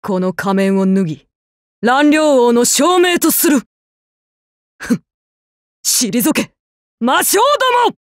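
A woman's voice: fairly high pitch, animated, slow, long pauses, no room sound, studio quality. A woman voicing a courteous, gallant young male masked general in a video game, speaking in formal, noble martial declarations. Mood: calm, resolute. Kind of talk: Japanese game battle voice lines.

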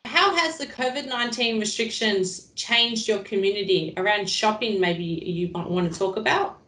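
A woman's voice: mid-range voice, normal speed, fairly bright tone. Woman interviewer in an echoey room. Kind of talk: interview questions. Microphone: video call. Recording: echoey room on a video call.

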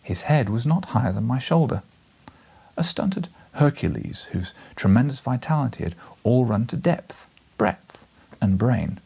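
Husky man reading a classic story aloud. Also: mid-range voice, lively intonation, measured pace, dark tone. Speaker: audiobook reader. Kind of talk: dramatic storybook narration. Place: small living room, indoors, seated in armchair.

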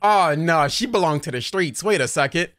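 A young man hosting a casual anime reaction on a headset mic in a home streaming room, animated and quick to laugh. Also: a little high, faint music behind. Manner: animated, laughs often, talks fast in bursts.

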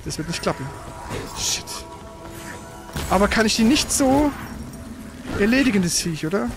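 A man's voice: high-pitched, animated, moderate pace, over music. A man giving casual, colloquial live commentary as he plays a video game, muttering his reactions. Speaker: gaming streamer, player. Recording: noisy stream with game sound behind.

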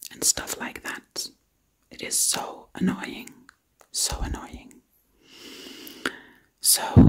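A woman performs ASMR roleplay almost entirely in a whisper. Her voice is extremely breathy and husky, and she takes her time, circling back to repeat the same words again and again. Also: medium pitch. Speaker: ASMR video creator.

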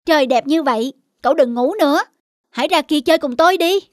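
A woman's voice: high voice, fast talker, no room sound, studio quality, lightly acted. A woman voicing a friendly, eager young dog in a cartoon, with an earnest, coaxing manner. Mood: cheerful, coaxing. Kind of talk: dubbed cartoon acting.

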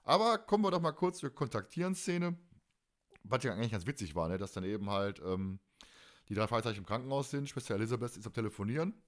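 Guy in his 40s just chatting on a fan podcast, normal pitch, rambly and animated. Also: fast talker. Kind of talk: casual chat.

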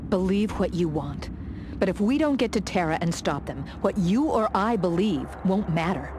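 A woman's voice: lowish voice, animated, moderate pace, background noise, close to an everyday voice. A woman playing a grave secret agent in a video game, speaking with solemn, earnest gravity. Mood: resolute. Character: grave female secret agent revealing a conspiracy. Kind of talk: video game cutscene acting.

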